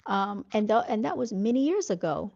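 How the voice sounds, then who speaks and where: A woman's voice: mid-range voice, sing-song, normal speed, a little mellow, dull audio. A woman from North Carolina with a Southern accent, chatting casually to her viewers and reading their comments, with lots of fillers and "y'all.". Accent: Southern American. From North Carolina, United States.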